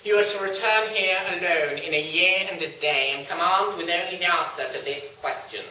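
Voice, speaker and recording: Villainous knight, acted by a storyteller, sneering, grandiose, very sharp forceful voice, heavily echoing room. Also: medium pitch, moderate pace, natural voice. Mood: gloating threat. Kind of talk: theatrical live storytelling. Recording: very echoey room, distant mic, faint noise.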